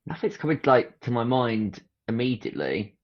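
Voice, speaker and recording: A man's voice: mid-range voice, sing-song, moderate pace, dull audio. A man joining a casual gaming podcast as a guest, cheerful and thinking aloud, swearing casually.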